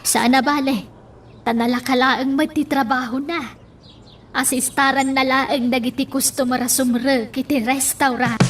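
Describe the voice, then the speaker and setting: A woman with an Ilocano accent playing a patient, indulgent older woman with a husky voice in a radio drama. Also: high-pitched, steady tone, moderate pace, lightly acted. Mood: calm, indulgent. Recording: slightly distant microphone.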